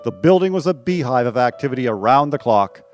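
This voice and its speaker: Male narrator for a radio drama, mid-pitched, faint music underneath. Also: expressive, brisk. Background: instrumental music.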